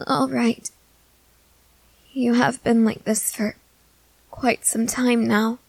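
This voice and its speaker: A woman voicing a gentle, nervous, newly turned young female vampire who speaks haltingly in an ASMR roleplay. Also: fairly high pitch, level tone, vocal fry, pitched above the performer's own voice, exaggerated voice, poor audio. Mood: nervous, reluctant. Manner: hesitant, halting, soft-hearted. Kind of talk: scripted ASMR audio roleplay.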